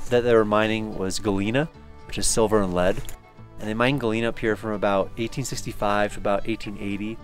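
A man in his thirties casually explaining the history of an old mining town outdoors, relaxed and pointing things out as he talks. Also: on the low side. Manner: relaxed, explanatory, points around while talking. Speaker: caretaker of a ghost town. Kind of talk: casual on-camera tour. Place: outdoors in a snowy mountain town.

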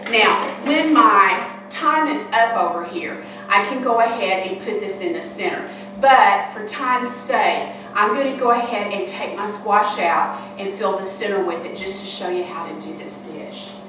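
A woman's voice: mid-range voice, measured pace, few pauses. Chatty older woman in an echoey kitchen. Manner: homespun, chatty, talks while working. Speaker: cooking show host. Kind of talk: casual cooking demonstration. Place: large kitchen hall indoors. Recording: echoey room from far off, noisy.

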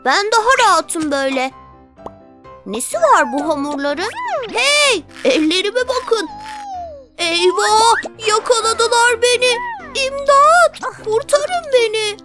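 A woman's voice: extremely high pitch, expressive, takes it slowly, music in the background, full character voice. A woman voicing a mischievous, whiny little child creature in a children's cartoon, childish and exaggerated. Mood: alarmed. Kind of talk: children's cartoon dub, rhymed lines.

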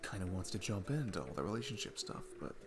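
A man playing a shy, sweet single dad smitten with his babysitter, speaking gently and haltingly in a romantic ASMR roleplay. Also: deep voice, big pitch swings, over music. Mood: earnest, tender.

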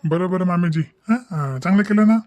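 A man plays a principled village family man in a comedy cartoon. His voice is high for a man and softly mellow, in a dry recording. He speaks earnestly and plainly. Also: normal speed, no echo, lightly acted. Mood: polite greeting. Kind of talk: Marathi comedy cartoon dialogue.

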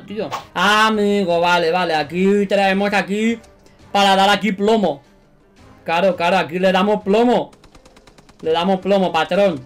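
Young Spanish YouTuber from Spain, high voice, animated and slangy, repeats himself, faint music underneath. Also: male voice. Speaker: gaming YouTuber. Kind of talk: excited gameplay commentary. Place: bedroom studio indoors.

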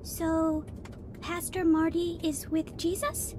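A woman's voice: extremely high pitch, flat delivery, slow pace, noisy background. A woman playing an earnest, insistent six-year-old girl in an audio drama, pleading and inquisitive. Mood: anxious, questioning.

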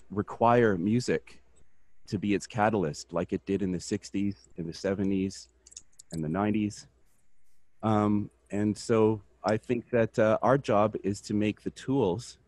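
A man with a very low voice talks earnestly on an online community call. He speaks haltingly, restarting sentences and filling pauses with "um.". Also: a little mellow. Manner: earnest, halting with fillers and repeats. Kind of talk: casual discussion.

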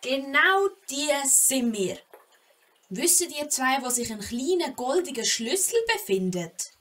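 A woman's voice: sits high, big pitch swings, slow, fairly bright tone, heavily acted. A woman with a Swiss German accent acting a bold, impulsive, cheeky young girl adventurer in a children's audio play. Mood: defiant.

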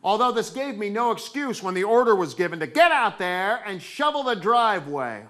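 A man's voice: high-pitched, big pitch swings, moderate pace, pushed and bright. A middle-aged American man performing theatrical comic storytelling on stage, acting out moments with dramatic delivery. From New England, United States.

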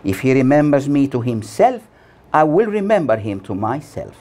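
A man in his sixties delivering a scripted sermon as a preacher, solemn and deliberate, with measured phrases and pauses. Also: medium pitch, big pitch swings, dark tone. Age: 60s. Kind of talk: scripted sermon read from notes. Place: small plain room indoors. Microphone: lapel mic.

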